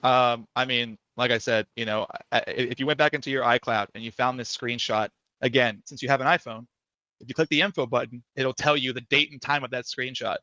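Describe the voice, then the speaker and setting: Fast, bright-voiced defensive man on a call. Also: no echo, lo-fi sound. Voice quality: soft, higher voice. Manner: animated, defensive, many restarts. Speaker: guest. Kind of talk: heated casual debate on a livestream.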